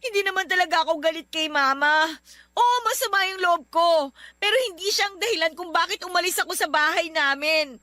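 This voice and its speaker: A woman's voice: very high voice, a little fast, bright, forceful tone, no room sound, studio quality. An actress playing an upset, needy young girlfriend in a radio love drama, with a plaintive, sulky manner. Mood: troubled. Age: young adult. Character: upset, needy young girlfriend who ran away from home.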